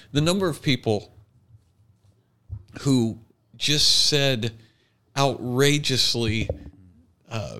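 A man talks casually as a podcast co-host. His voice is average in pitch for a man. He often halts and restarts while searching for the right phrase. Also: bright, forceful tone. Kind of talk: casual chat.

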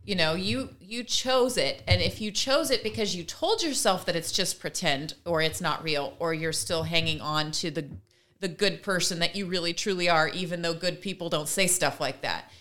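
A woman's voice: fairly low pitch, moderate pace, a bit bright. A woman co-hosting a casual discussion, talking in an earnest, emphatic way as she explains her points. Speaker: podcast co-host.

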